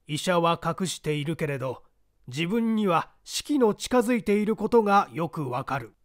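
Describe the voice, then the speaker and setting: A dying man speaks with grave urgency, played by a male audiobook reader. His high voice rises and falls dramatically as he insists on solemn promises. The sound is very dry. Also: big pitch swings, normal speed, dry recording. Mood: grave, insistent.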